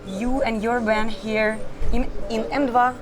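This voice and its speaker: A woman from Russia interviewing a guest in English. She searches for words and talks haltingly. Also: on the high side, fairly even intonation. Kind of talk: interview questions. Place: club. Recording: noisy crowd in a club.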